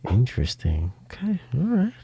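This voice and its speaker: A man's voice: medium pitch, huge pitch swings, normal speed, mellow, breathy edge. A man hosting a casual interview, speaking informally in short reactions and questions. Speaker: host.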